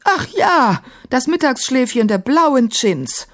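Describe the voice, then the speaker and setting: Woman as old storybook grandmother, sharp-tongued, scornful, mocking, raised pitch. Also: expressive, normal speed, close to an everyday voice.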